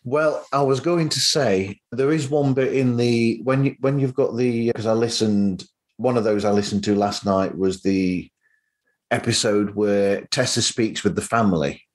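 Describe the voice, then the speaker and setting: A man's voice: medium pitch, measured pace. A man hosting a podcast, chatting casually with his guests. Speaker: podcast host. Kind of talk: casual interview chat.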